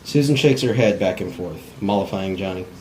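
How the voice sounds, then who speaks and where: A man's voice: deep voice, normal speed, mellow, rough recording. A man reading stage directions in an amateur cold table read, plainly and with stumbles over words. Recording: faint background noise.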